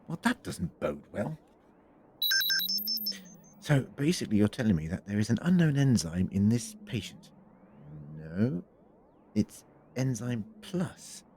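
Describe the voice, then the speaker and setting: An actor playing an eccentric, inquisitive time-traveller in an audio drama, muttering briskly to himself. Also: male voice, on the low side, very animated, background noise. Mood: puzzled, musing.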